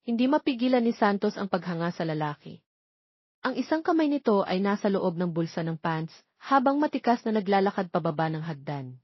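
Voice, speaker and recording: A Filipino woman reading a scripted romance story as its narrator. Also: mid-range voice. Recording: dull, roomy, lo-fi audio.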